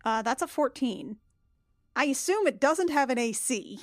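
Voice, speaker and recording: A woman's voice: high-pitched, expressive, moderate pace, no room sound, clean studio sound. A woman playing a tabletop role-playing game, chatting casually with an irreverent, sweary manner.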